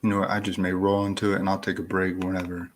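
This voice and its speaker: A man who just woke up, sounding groggy, rambling in a slow, laid-back live-stream chat about fantasy football. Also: deep voice, flat delivery, a little hiss or hum. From Florida. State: groggy and deep-voiced from little sleep.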